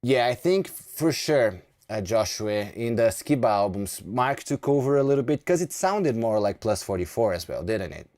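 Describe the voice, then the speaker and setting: A man's voice: medium pitch, big pitch swings, normal speed, hardly any pauses, a little mellow. A man in his thirties from Brazil with a Brazilian accent, chatting casually to viewers on a live stream and often repeating words as he restarts. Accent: Brazilian Portuguese. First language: Portuguese. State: sleepy.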